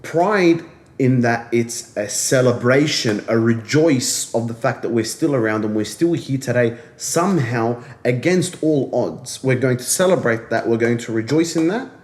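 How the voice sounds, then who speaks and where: A young man at an ordinary middle pitch talks with conviction, stacking repeated phrases to drive his points home. He sounds like a casual podcast co-host making a case. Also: animated, normal speed, darkish tone. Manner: earnest and emphatic, repeats phrases for stress.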